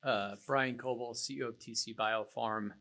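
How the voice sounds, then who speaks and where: A man's voice: mid-range voice, steady tone, normal speed, pushed and bright. A man introducing his company on a business panel, with a wry remark. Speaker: biotech CEO panellist.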